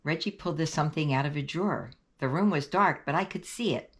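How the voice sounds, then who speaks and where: A woman's voice: low-pitched, fast, slightly rough. A woman hosting a children's read-aloud, narrating a picture book expressively and shifting her intonation for dialogue. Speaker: host reading picture books for children.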